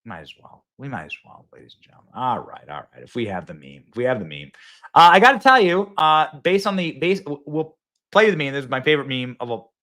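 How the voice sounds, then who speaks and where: A man hosting a live political talk show from a phone, excitable and rambling, often repeating himself. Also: mid-range voice, quick pace. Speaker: host.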